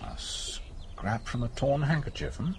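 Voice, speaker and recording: An actor playing a reserved, agreeable country doctor in a radio drama, polite and understated. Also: male voice, mid-range voice, very animated, a little slow, noisy background. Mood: doubtful, guarded.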